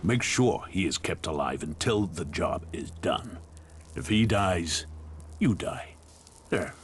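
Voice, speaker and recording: A man's voice: low voice, animated, slow, slight background noise. A man playing a ruthless gang boss who rules a wasteland town, menacing, boastful and blunt, in a video game. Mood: threatening.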